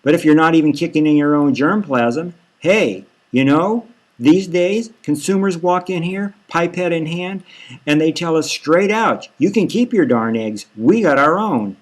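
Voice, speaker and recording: Slick, condescending boss for satirical audiobook, read by a man; slightly high male voice, cajoling salesman patter. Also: expressive, unhurried. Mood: blunt hard sell. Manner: folksy hard-sell, cajoling. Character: slick, pushy, patronizing male boss of surrogate mothers. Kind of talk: satirical audiobook character reading.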